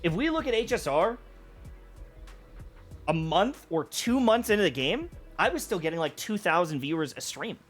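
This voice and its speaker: A man streamer in casual chat, calmly breaking down viewer numbers with examples. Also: high-pitched, faint music behind. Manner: measured, explains with examples and numbers.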